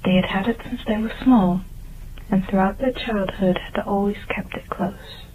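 A woman narrating a dark children's tale as a storyteller, with a soft, breathy, half-whispered delivery. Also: medium pitch, normal speed. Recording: muffled, noisy old tape, phone-like band.

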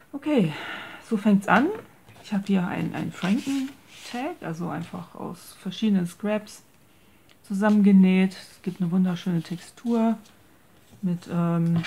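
Slow, creaky-voiced woman chatting casually. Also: mid-range voice, mellow. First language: German. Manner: hesitant, searching for words, mixing English craft terms into German. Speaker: craft video maker presenting a handmade journal. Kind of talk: casual show-and-tell narration. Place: indoors at a tabletop.

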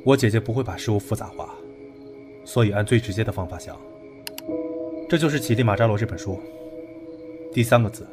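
Male actor as analytical young man, low voice, measured, matter-of-fact, audio drama, prominent music behind. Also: lightly acted. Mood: calm, analytical. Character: calm, analytical young man with perfect memory.

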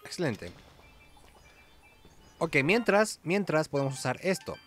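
A man's voice: on the high side, very animated, a little slow, a bit bright, faint music behind. Guy doing casual game commentary. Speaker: gaming video host.

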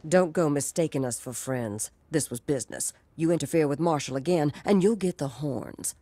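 An actor playing a shrewd, folksy woman executive with cool menace and a measured delivery in a video game cutscene. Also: deep voice, dark tone, no echo. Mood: cool, warning. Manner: measured, folksy turns of phrase.

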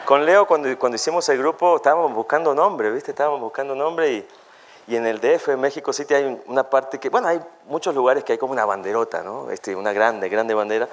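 A man's voice: mid-range voice, quick pace. A man in his forties from Argentina with an Argentine accent, a guest chatting playfully on a talk show set. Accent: Argentine Spanish. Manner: playful, animated, jokes and sings snippets. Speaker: guest singer. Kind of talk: casual interview chat. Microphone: desk microphone.

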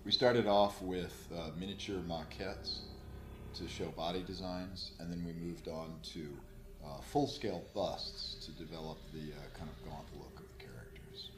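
Very deep middle-aged man, echoey room. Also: fairly even intonation, a little slow. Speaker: creature effects artist. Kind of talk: explaining past work in an interview. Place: indoor room, seated interview. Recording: echoey room, faint noise.

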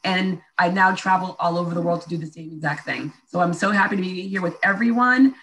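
Bright fast-talking American woman. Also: fairly low pitch, low-quality recording. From USA, first generation. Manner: fast, passionate. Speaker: marketing speaker. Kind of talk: panel introduction on video call. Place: indoors, plain room.

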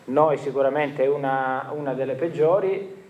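A man in his forties, a football coach answering questions at a post-match press conference in a stadium press room, speaking in a measured, reflective way with frequent restarts. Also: mid-range voice, steady tone, phone-quality sound. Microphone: table microphone.

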